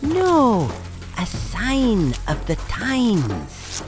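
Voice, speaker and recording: Slow, wildly swooping vagabond poet, acted by a woman. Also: mid-range voice, huge pitch swings, full character voice. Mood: grandiose, teasing. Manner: pompous, declamatory, riddling. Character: theatrical self-styled sheikh of the gutter, grandiose vagabond poet. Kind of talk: audio drama acting. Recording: loud music under the voice.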